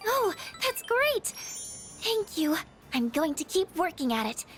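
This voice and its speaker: A woman playing a shy, gentle, insecure teenage girl in a video game, with a hesitant, apologetic, stammering way of talking. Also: extremely high pitch, big pitch swings, slow, faint music behind, heavily acted. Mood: pleased, eager. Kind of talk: video game character dialogue voice acting.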